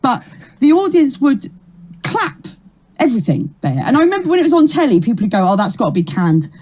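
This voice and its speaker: A middle-aged woman comedian with a rough, ragged voice, chatting animatedly in an interview. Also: sits high. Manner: chatty, animated storytelling with quick asides. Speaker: comedian guest. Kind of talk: casual interview chat.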